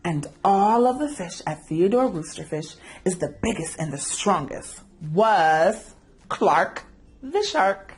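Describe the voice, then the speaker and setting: Very animated woman reading a children's story. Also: medium pitch, slow pace. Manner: animated, engaging listeners with questions. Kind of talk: expressive children's read-aloud narration.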